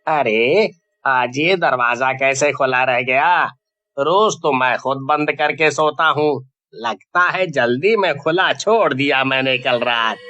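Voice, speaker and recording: A man's voice: medium pitch, slow, sharp tone, highs cut off. A man playing a worried, bewildered husband in a cartoon horror story, exclaiming and questioning himself anxiously. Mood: puzzled, brooding. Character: worried, bewildered husband searching for his missing wife. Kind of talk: dramatized Hindi animated horror-story acting.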